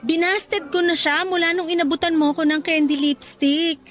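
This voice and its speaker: A woman's voice: extremely high pitch, fairly even intonation, normal speed, fairly bright tone, soft background music. A woman playing a sweet, teasing young worker being courted in a Tagalog radio romance, with a coy, coaxing way of talking. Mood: sincere, coy.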